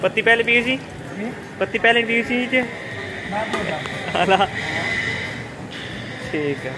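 A man's voice: very high voice, animated, slow pace, poor audio. A young man from Azad Kashmir, chatting casually and questioning a cook at a roadside hotel. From Azad Kashmir, Pakistan. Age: young adult. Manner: chatty, joking, asks many questions. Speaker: vlogger. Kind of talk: casual travel vlog chat. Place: streets, then a small roadside hotel kitchen. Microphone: handheld camera at arm's length. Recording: clear background music.

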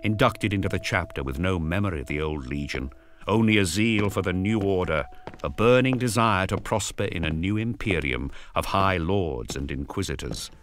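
A man giving scripted dramatic narration, grave and storytelling, with deliberate pauses. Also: sits low, a bit bright, slight background noise.

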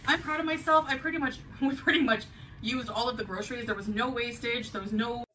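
A chatty woman with a bright, slightly high voice rambles through a grocery haul vlog. She is heard from a distance through the camera in her kitchen, with a faint room hum behind her. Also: a little fast. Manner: chatty, rambling, light laughs. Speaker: vlogger. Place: home kitchen, indoors. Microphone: camera on the counter.

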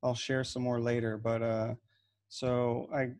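A man's voice: mid-range voice, a little slow. A man in his thirties moderating an online panel on a video call from a room at home, talking in a loose, easygoing way with frequent restarts. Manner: relaxed, repeats words and restarts often. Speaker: webinar host and moderator. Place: home room with shelves of gems.

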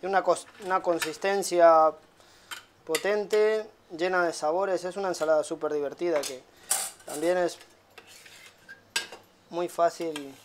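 A man in his thirties, a chef giving a casual cooking demonstration in a halting way with frequent tag questions. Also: on the high side, fairly even intonation, background noise. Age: 30s. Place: small tiled restaurant kitchen.

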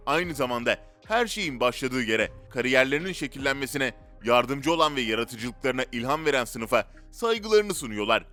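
A man's voice: medium pitch, bright, forceful tone. A man reads scripted narration in a dramatic, rhetorical storytelling way. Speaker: narrator of a list video. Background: background music.

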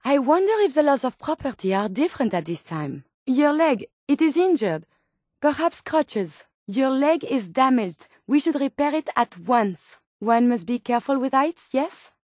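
Game companion: polite, caring French-accented female robot scientist. High-pitched, precise, formal, dry studio sound. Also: very animated, moderate pace, a little mellow, dry recording. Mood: curious, concerned. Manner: formal, precise, clinical phrasing. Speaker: video game voice actor. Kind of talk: video game companion dialogue lines.

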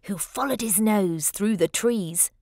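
Measured woman reading a children's storybook. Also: medium pitch, a little mellow, dry recording, studio quality. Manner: measured, dramatic emphasis. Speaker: storybook reader. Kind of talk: expressive children's read-aloud narration of rhyming verse.

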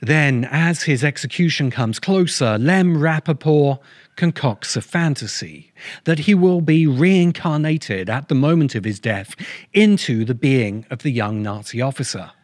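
A middle-aged man narrating a scripted video essay in measured, emphatic, deliberate phrases, close to the microphone. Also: medium pitch, lively intonation, dry recording. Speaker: host of a science fiction video essay channel. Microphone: large microphone close in front of him.

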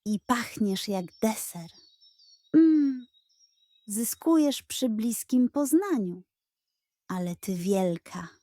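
A woman's voice: fairly high pitch, expressive, slow pace, long pauses, warm tone, dry recording. A woman voicing a grumpy, greedy little male pug dog who scoffs and boasts, in a children's audio story. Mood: nervous. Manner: scoffing, boastful, food-obsessed.

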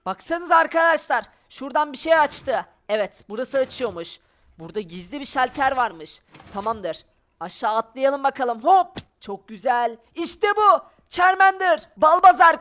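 A woman's voice: sits high, a bit bright. A woman giving playful game commentary, exclaiming and narrating each of her moves aloud. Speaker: gaming video player. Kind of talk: playful Minecraft adventure commentary.

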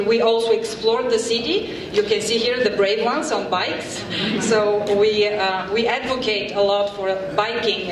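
A middle-aged woman from Bulgaria with a Bulgarian accent, presenting to a community meeting in a halting way with many filler pauses. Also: mid-range voice. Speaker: urban planner presenting a workshop. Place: large event room with audience. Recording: echoey hall from far off, crowd murmur, background noise.

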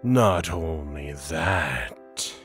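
A man's voice: a bass voice, lively intonation, very slow, fairly bright tone, faint music behind, full character voice. A man playing a haughty, menacing god of fears in a fantasy audio drama, theatrical and imperious. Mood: ominous, matter-of-fact.

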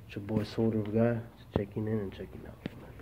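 A man gives a casual handheld vlog tour in short, repeated phrases with shout-outs. His delivery stays flat, and the phone recording is muffled with clear noise behind him. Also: lowish voice, fast. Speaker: vlogger. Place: church back rooms, indoors.

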